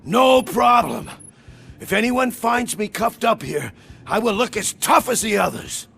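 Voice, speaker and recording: Jittery high-pitched cowardly gang accountant. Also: male voice, measured pace, vocal fry, breathy edge, heavily acted. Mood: nervous relief. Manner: nervous, pleading, excitable. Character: cowardly, frightened male accountant for a criminal gang. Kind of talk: video game voice acting.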